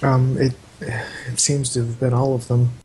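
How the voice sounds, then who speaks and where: Flat, mellow-voiced man running the game. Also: medium pitch, unhurried, a little hiss or hum, poor audio. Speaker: game master. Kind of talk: narrating a tabletop game over voice chat.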